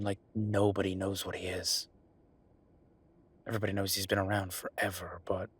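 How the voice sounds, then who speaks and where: A man's voice: fairly low pitch, a bit bright, breathy edge. A man playing an affectionate, teasing werewolf bureaucrat in an intimate romantic audio roleplay, speaking conversationally with murmured asides. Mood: earnest, musing. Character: Viktor, affectionate teasing male werewolf bureaucrat, weary but playful.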